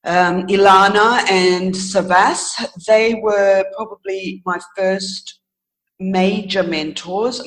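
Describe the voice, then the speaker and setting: Earnest, halting woman in interview. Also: lowish voice, poor audio. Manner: earnest, halting, thoughtful pauses with self-corrections. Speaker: interview guest, author. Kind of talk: reflective, casual interview answers.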